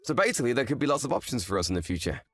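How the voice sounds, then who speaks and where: A man's voice: mid-range voice, very animated, rapid-fire, fairly bright tone, no room sound, clean studio sound, faint music behind. A man playing an earnest, frank young husband in scripted story dialogue, speaking expressively. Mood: anxious, grateful.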